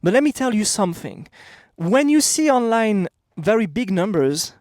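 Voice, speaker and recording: A man in his thirties with a French accent gives a casual motivational talk straight to camera. His voice sits high for a man and is recorded close and dry. He talks bluntly and emphatically. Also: sing-song, moderate pace, a little mellow, dry recording. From France. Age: 30s. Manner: blunt, emphatic, repeats "okay?". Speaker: vlogger. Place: outdoors.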